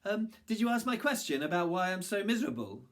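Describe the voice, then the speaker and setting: A man voicing a lonely young woman in a spoken folktale, speaking high and coy. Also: very animated, brisk, fairly bright tone.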